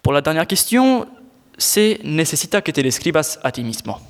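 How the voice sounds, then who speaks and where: A man's voice: a little high, sing-song, normal speed. A young man in his teens playing an exasperated, sardonic squire in a student audio drama, grumbling in a slangy way. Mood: scolding. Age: teenager.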